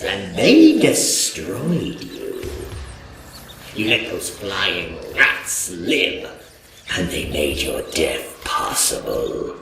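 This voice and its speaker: A man playing a proud, contemptuous vampire lord in a fantasy audio drama, with a slow, imperious, mocking delivery. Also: background noise, full character voice. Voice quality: deep, gravelly. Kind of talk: theatrical dark fantasy audio drama acting. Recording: roomy echo. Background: music.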